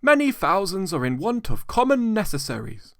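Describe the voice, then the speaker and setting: A man's voice: high voice, wildly swooping pitch, normal speed, gravelly, a bit bright, dry recording, studio quality, pitched above the performer's own voice, full character voice. A man voicing a portly, polite charity collector, speaking formally and earnestly in a storybook reading.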